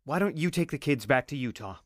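A man's voice: medium pitch, lively intonation, fast talker, fairly bright tone, no room sound, studio quality, close to an everyday voice. A man playing a cold, dismissive husband with a blunt, scornful manner in a radio drama. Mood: coldly firm. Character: cold, dismissive husband rejecting his wife's faith.

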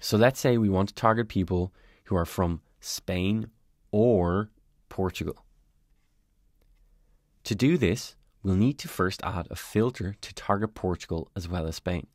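A man calmly narrating a step-by-step software tutorial as a voice-over on a screen recording. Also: deep voice, unhurried, no room sound, clean studio sound. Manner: calm, step-by-step explanatory.

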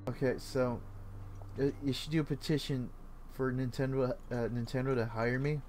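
A man's voice: medium pitch, fairly even intonation, normal speed, darkish tone, faint music behind. A man streaming a video game, chatting casually in a laid-back, rambling way and swearing freely. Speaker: gaming streamer.

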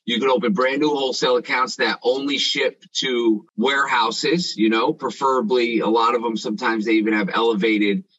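A man's voice: medium pitch, steady tone, normal speed, hardly any pauses, a bit bright, dry recording, dull audio. A man in his thirties coaching business owners on a video call, talking in a confident, emphatic way. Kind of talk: casual group video call. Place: dim room at home.

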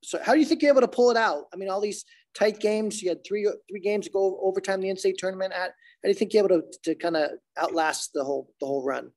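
A man's voice: sits high, fast, a little mellow. An older man asking interview questions as a sports reporter, informal, often restarting his phrases, on a phone video call.

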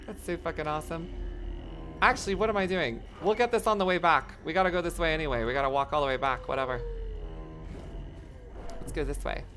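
A game streamer with a voice going hoarse, chatting casually, swearing a lot and reacting loudly to the game. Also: medium pitch, fairly quick, pauses often, soft background music. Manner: excitable, profane, reacts loudly to gameplay. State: voice getting hoarse. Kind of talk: casual live-stream commentary.

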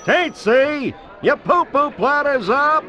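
A man's voice: very high-pitched, animated, slow, pushed and bright, exaggerated voice. A man voicing a helpful, chatty bug who guides the player in a cartoon game, with a folksy manner. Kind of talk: cartoon game character instructions. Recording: slightly dull game audio.

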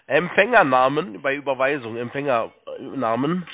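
A man working as a call-centre agent, giving halting step-by-step instructions, repeating himself and spelling things out. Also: mid-range voice, animated. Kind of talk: step-by-step phone sales instructions. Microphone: telephone. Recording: tinny narrow phone line.

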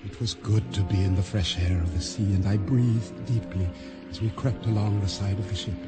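A man playing an earnest island sailor, recounting his ordeal at sea in measured, formal phrases as radio drama narration. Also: lowish voice, fairly even intonation, over music, close to an everyday voice. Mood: grave, reflective.

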